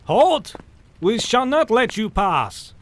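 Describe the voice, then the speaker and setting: A man playing an old doctor, lively and formal. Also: very high voice, full character voice. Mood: defiant. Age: older. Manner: formal and measured. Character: learned elderly male vampire-hunting doctor. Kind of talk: audio drama acting.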